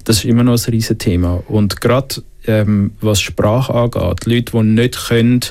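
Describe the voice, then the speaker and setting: A man's voice: fairly low pitch, fairly even intonation, moderate pace, a little mellow. A Swiss man with a Swiss German accent, thoughtfully discussing the Bible in a faith conversation, with many filler sounds and restarts. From Switzerland. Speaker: panel guest discussing the Bible.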